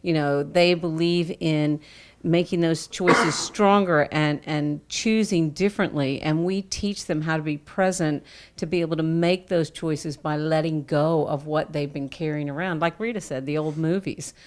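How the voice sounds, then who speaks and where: A woman from Arkansas, a volunteer practitioner, sharing her story in earnest, warm casual talk and laughing easily. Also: low-pitched, fairly even intonation, unhurried. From Arkansas, United States. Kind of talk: casual personal testimony.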